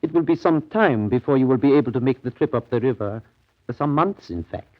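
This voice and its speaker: An actor playing a grave, evasive colonial trading station manager, measured and ominous in a radio drama. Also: male voice, medium pitch, animated, lightly acted. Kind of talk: 1930s radio drama acting. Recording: dull, lo-fi 1930s radio recording.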